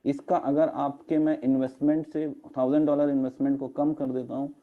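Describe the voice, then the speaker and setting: A man with a hoarse throat presenting in an online meeting, explaining step by step and slipping English terms into his talk, with fillers. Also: mid-range voice, flat delivery, brisk, narrow, tinny audio. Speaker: network marketing presenter. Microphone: video call.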